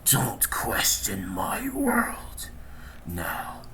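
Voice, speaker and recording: A performer voicing a sinister ghostly evil hedgehog clone in a menacing, breathy whisper for an amateur horror audio drama. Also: medium pitch, wildly swooping pitch, voice pushed up, full character voice. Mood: menacing, taunting. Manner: slow, drawn-out threats.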